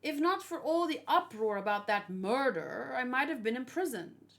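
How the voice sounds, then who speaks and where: A woman's voice: high-pitched, expressive, normal speed, fairly bright tone. A woman reading manga dialogue as an earnest male royal warrior, formal and serious. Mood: grave. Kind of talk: manga dialogue read aloud.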